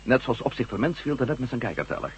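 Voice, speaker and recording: An actor playing a quick-witted, inquisitive male reporter in a Dutch radio drama, politely insistent. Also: mid-range voice, sing-song, lightly acted. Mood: playful. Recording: humming, noisy old radio recording.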